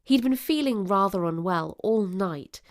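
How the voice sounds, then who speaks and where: A woman narrator reading a scripted period drama in an expressive, literary storytelling manner. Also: mid-range voice, a little slow.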